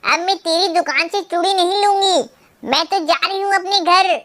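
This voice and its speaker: A woman voicing a sly, complaining female crow in a children's cartoon, squeaky and whiny in a dramatic way. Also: normal speed, pushed and bright. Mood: huffy.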